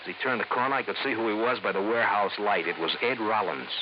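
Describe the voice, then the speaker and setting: An actor playing a slippery, defensive blackmailer in an old radio drama, insistent and brusque. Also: male voice, on the low side, fast talker, highs cut off, natural voice. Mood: insistent, scheming. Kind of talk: mid-century American radio drama acting. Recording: noisy, band-limited old radio recording.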